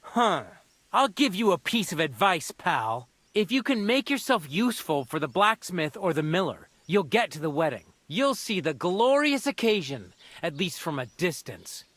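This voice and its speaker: A man's voice: high-pitched, very animated, normal speed, fairly bright tone, no room sound, exaggerated voice. An actor playing a scornful, mocking local man in a video game, smug and derisive. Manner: sneering, derisive. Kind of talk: dramatic video game dialogue.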